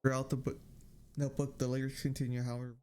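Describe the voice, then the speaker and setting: A man reading game dialogue aloud on a livestream in a halting, subdued way. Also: mid-range voice, little pitch movement, darkish tone, slight background noise. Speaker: game streamer.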